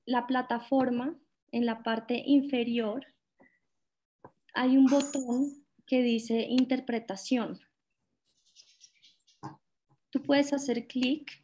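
A woman moderating a panel over a video call, speaking haltingly with hesitations. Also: fairly high pitch, warm tone.

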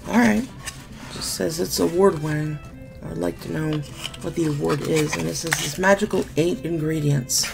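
Woman, 30s, sick beauty vlogger losing her voice; low, heavily gravelly delivery, candid and chatty; home webcam. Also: moderate pace, over music. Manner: chatty, candid, mildly sarcastic. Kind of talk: casual product unboxing chat. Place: small room at home, indoors.

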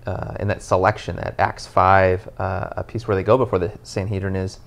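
A man in his thirties, a pastor, talking through a passage on a couch in an earnest, animated way, with lots of "you know". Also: low voice, moderate pace, creaky. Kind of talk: casual podcast conversation. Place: living room, on a couch, indoors.

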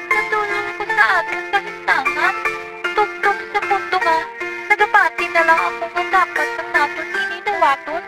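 A woman's voice: extremely high pitch, poor audio. A young woman playing a regretful, loving mother in a student radio drama. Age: young adult. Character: regretful, loving mother finding her courage. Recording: loud music under the voice.

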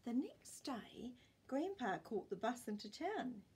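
An older woman narrates a children's picture book with a lively, swooping delivery. Her voice is fairly high and slightly husky. She reads expressively and pauses to ask listeners questions. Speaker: children's storytime reader. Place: small indoor room with bookshelves.

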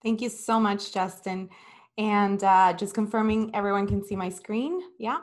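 A woman's voice: medium pitch, fairly even intonation, moderate pace. A young woman from El Salvador presenting earnestly in a webinar over a video call. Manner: earnest, with fillers.

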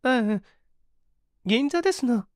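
A woman plays a young woman in an audio story, high-pitched and prim. She is proud and prickly, with anxious, old-fashioned feminine manners and a lively swing in her delivery. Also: slow, no echo, studio quality. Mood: prim, impatient.

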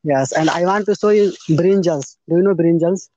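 A young man from India with an Indian accent, speaking halting, simple learner English in a casual practice conversation on a phone video call outdoors. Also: high voice, mellow, dry recording. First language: not English. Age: young adult. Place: outdoors in a garden. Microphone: phone at arm's length, video call. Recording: dull lo-fi video call audio.

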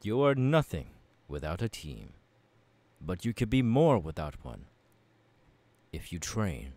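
A man's voice: deep voice, very animated, measured pace, a little mellow, no room sound, full character voice. A man playing a passionate, courteous young warrior mentor in an ASMR audio roleplay, speaking in an earnest, formal and encouraging way.

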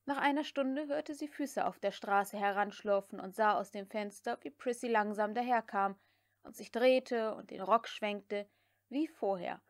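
A woman narrates a literary audiobook. Her voice sits fairly high for a woman. The recording is dry, with very little room sound. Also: no room sound.

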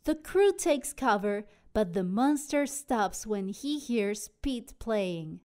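A woman narrating a children's storybook aloud in an expressive, dramatic way. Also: a little high, slow pace, clean tone. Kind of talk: animated children's read-aloud.

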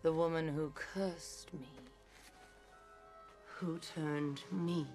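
Seductive snake-haired gorgon, menacing, extremely slow delivery, breathy silky taunts, film score behind. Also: female voice, low-pitched, fairly even intonation. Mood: wistful, bitter. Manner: breathy, drawn-out, silky taunting that drops into whispers. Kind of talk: film acting. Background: film music.

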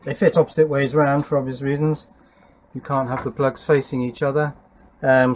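A man's voice: medium pitch, a little hiss or hum. A middle-aged man narrating hands-on electronics work casually, thinking aloud as he goes. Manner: informal, thinking aloud while working. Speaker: electronics hobbyist presenting his workshop project. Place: small wooden garden shed workshop.

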